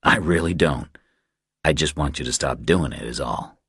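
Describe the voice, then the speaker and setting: Man acting terse tough guy, extremely deep. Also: normal speed, fairly bright tone, breathy edge, no room sound, clean studio sound, full character voice. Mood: calm, terse. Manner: low-key, terse, controlled. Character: calm, unbothered, very big male drifter tough guy.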